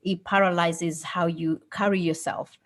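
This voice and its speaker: A woman's voice: lowish voice, steady tone, measured pace. A woman with a Somali accent speaks as a radio co-host. She tells personal stories in an earnest, candid way and often checks in with her listeners. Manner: earnest, candid storytelling with frequent "okay?". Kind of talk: casual radio chat.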